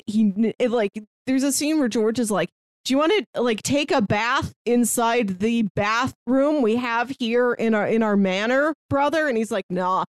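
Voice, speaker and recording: A woman's voice: on the high side, no room sound, studio quality. A woman co-hosting a podcast, casually discussing film and retelling things by acting out imagined lines. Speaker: podcast co-host.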